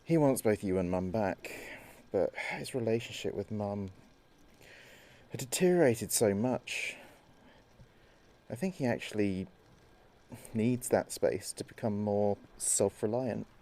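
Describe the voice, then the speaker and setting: A man acting a bereaved son in an audio drama, speaking in a low voice. He is reflective and conversational, halting now and then as he records a message for his late father. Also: warm tone. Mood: subdued, wistful.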